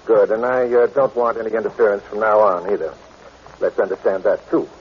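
An American actor playing a coolly calculating blackmailer in a 1940s radio drama, smug and insinuating. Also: male voice, fairly low pitch, fairly even intonation, brisk, slight background noise, natural voice. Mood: coolly menacing. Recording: muffled old radio recording.

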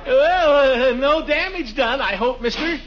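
A blustering middle-aged uncle in a 1940s radio comedy. He sputters pompously and mutters his grievances with big swings in pitch, through heavy noise on a muffled old recording. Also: expressive, unhurried. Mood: flustered. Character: blustering, self-pitying middle-aged water commissioner, pompous household uncle. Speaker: lead actor in a radio comedy.

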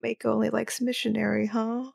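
A woman playing a cool, sardonic young operative and teasing sister in a sci-fi audio drama, with a deadpan delivery. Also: fairly high pitch, a little fast, vocal fry, no echo, clean studio sound.

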